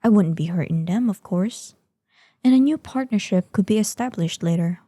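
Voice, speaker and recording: A woman's voice: medium pitch, animated, warm tone, no room sound, studio quality, lightly acted. A woman playing a proud, ancient female dragon in human form, speaking formally and imperiously in a scripted fantasy audio roleplay. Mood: proud, defiant. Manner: imperious, formal archaic diction, measured.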